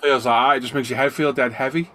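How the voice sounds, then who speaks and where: A man's voice: medium pitch, fairly even intonation, fast, sharp tone. A middle-aged man, hosting a casual live stream from his hobby room. He sounds bunged up from a cold and greets his viewers by name as he chats. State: congested, getting a cold.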